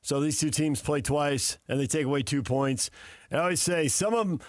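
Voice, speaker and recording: A man's voice: on the high side, brisk, no room sound. American male sports radio host, dry studio sound. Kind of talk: conversational sports analysis. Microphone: close studio microphone.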